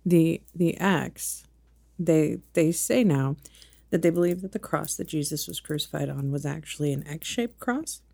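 A woman's voice: low-pitched, measured pace, vocal fry, dark tone. A woman co-hosting a casual chat, asking teasing, wry questions. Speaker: podcast co-host.

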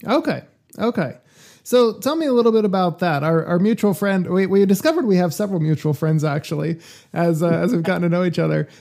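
A male interviewer with a gravelly, mellow voice that sits somewhat high for a man. He asks open questions and nudges his guest along with short, encouraging affirmations. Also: brisk. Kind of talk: conversational interview.

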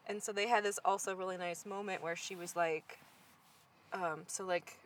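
A woman chatting casually about a movie's plot, easygoing and quick to laugh, with many filler words. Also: on the low side, fairly even intonation. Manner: easygoing, laughs often, frequent "yeah" and "like". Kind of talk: casual movie recap chat.

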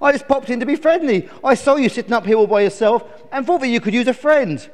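A man's voice: extremely high pitch, animated, quick pace, in a large hall, pitched above the performer's own voice. A man voicing a friendly, chirpy little male sparrow in a children's storybook. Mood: friendly, apologetic. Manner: cheerful, chirping, apologetic.

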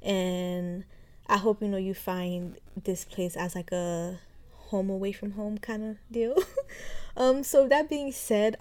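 A woman's voice: mid-range voice, warm tone. A woman in her twenties from Haiti, hosting a casual podcast intro and talking chattily with many fillers. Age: 20s. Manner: chatty, many fillers like "like" and "um", frequent light laughs. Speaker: podcast host.

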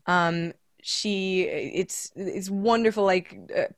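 A young woman with a low voice, chatting as a guest musician in a casual interview, laughing often and filling pauses. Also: steady tone, dry recording, studio quality.

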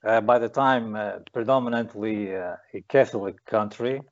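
A man's voice: lowish voice, moderate pace, a little hiss or hum. A man from Portugal interviewing a guest, hesitant with many fillers and restarts. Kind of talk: video interview. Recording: dull lo-fi audio.

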